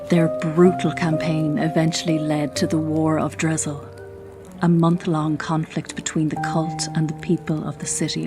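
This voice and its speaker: A woman narrating a scripted fantasy audio drama as a solemn, measured storyteller. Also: low voice. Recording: loud music under the voice. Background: loud music.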